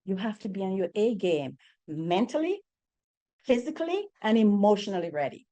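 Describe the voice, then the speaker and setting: A woman's voice: mid-range voice, very animated, moderate pace, mellow. A middle-aged woman from Jamaica with a Jamaican accent, speaking on a real estate panel in an emphatic way, putting rhetorical questions to the audience. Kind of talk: panel discussion.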